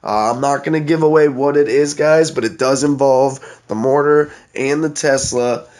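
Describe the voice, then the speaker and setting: A man's voice: medium pitch, steady tone, moderate pace, darkish tone. A congested young man giving chatty play-by-play commentary on his own mobile game match, talking straight to his viewers. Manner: chatty, keeps addressing viewers as guys. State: congested, stuffed-up nose. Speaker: gaming channel host.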